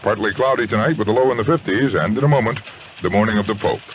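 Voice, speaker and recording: A man's voice: on the low side, fast talker. An American man anchoring a scripted radio newscast on a 1970s AM station. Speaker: news anchor. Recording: noisy 1970s AM radio aircheck.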